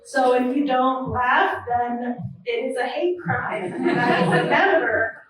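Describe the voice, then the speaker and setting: A woman's voice: high-pitched, slow, fairly bright tone. A woman in her twenties doing a nervous, self-deprecating stand-up set at an open mic, full of ums. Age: 20s. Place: comedy club or small venue. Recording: very echoey room from a distance.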